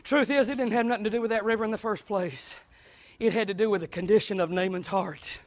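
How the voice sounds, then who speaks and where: A man preaching a sermon in a small church, dropping to quiet talk and then rising into shouted preaching. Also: quick pace. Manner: swings from low conversational talk to shouted preaching. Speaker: pastor.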